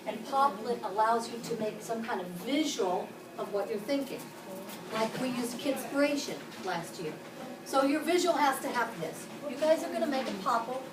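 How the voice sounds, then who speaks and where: A woman from Pittsburgh teaches a class, walking through each step and putting questions to the children. Her voice sits a little high. She is picked up from across an echoey classroom with steady background noise. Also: moderate pace, far from the mic. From Pittsburgh, Pennsylvania. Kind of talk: classroom instruction. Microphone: camera across the room.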